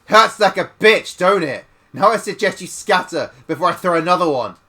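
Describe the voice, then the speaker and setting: A man voicing a fierce, vulgar old grandmother who talks in a gruff, rage-thick voice. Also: a little high, sing-song, moderate pace, bright, forceful tone. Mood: threatening. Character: fierce foul-mouthed tough old grandmother.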